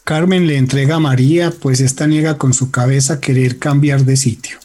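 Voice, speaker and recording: A man's voice: medium pitch, fairly even intonation, moderate pace, dark tone. A man delivering dramatic scripted narration as the narrator of a radio serial.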